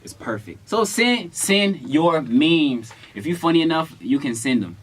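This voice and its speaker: A man's voice: a little high, measured pace, husky. A young adult American man casually telling stories in a playful, slangy way, acting out quotes as a vlog co-host. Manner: playful, slangy, animated retelling with acted-out quotes. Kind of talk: casual storytelling.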